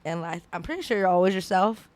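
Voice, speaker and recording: A woman's voice: lowish voice, expressive, fast talker, a little mellow. A woman co-hosting a podcast, giggly and playful in casual banter. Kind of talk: casual chat.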